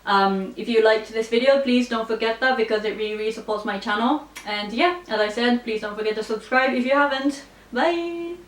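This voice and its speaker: A woman's voice: a little high, fairly quick. A young adult woman from Japan, a native Japanese speaker, chatting casually to camera as a vlogger with a playful, self-deprecating manner. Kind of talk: casual chat to camera, answering viewer comments. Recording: roomy, distant mic, faint background noise.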